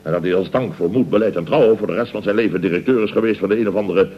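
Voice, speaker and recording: An actor playing a pompous, easily flustered middle-aged contractor, blustering and stammering in a radio comedy serial. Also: male voice, on the low side, rapid-fire, slightly rough. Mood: indignant bluster. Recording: muffled old radio recording.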